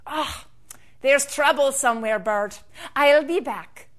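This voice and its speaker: A woman playing an eager, high-voiced leprechaun shoemaker. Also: slow. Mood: alarmed. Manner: exclaiming, old-fashioned Irish turns of phrase. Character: cheerful, kindly male leprechaun shoemaker, eager to help.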